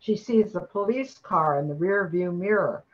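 A woman's voice: on the low side, moderate pace, dull audio. An older woman narrating a scripted radio play.

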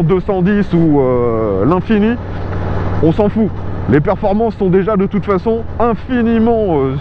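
Chatty man reviewing a motorcycle on the road. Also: high-pitched. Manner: chatty, enthusiastic, many fillers. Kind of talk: casual spoken vehicle review. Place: outdoors riding a motorcycle on rural roads. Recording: muffled helmet mic with road noise. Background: engine and road noise.

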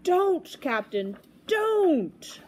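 Urgent young palace page played by a woman, exclamatory and pleading, very animated pitch. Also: moderate pace, a little hiss or hum. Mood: frantic pleading. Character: alarmed, urgent young royal page boy.

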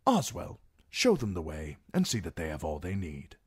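A man voicing a sly, courteous lord in a fantasy audiobook, speaking in a mild, measured, apologetic way. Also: deep voice, huge pitch swings, slightly rough, dry recording, clean studio sound, full character voice. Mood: courteous, unruffled. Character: smooth, soft-spoken, sly, courteous lord.

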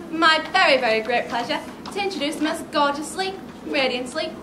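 An eager pantomime sergeant played by a woman announces playfully in a high voice. It is recorded in an echoey theatre with clear background noise. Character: eager toy-soldier sergeant narrating to children. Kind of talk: stage pantomime narration. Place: theatre stage, filmed from the audience.

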